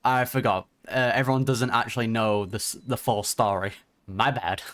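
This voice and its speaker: A woman with a very deep voice reacts to a game in an informal, slangy way. The recording is close and dry, and she talks in casual asides. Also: fairly even intonation, moderate pace, a bit bright, dry recording.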